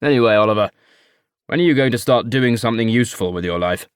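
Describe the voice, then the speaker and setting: A man's voice: mid-range voice, fast, clear, resonant tone, no echo, clean studio sound, close to an everyday voice. A man reading an audiobook and voicing a stern, overbearing father who snaps at his son. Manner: snapping, forceful.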